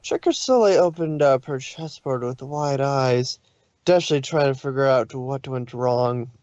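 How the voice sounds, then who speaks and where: A man's voice: mid-range voice, moderate pace, a little mellow, dry recording. Dry, slightly dull-sounding male story reader. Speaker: fan fiction reader. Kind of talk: expressive story narration.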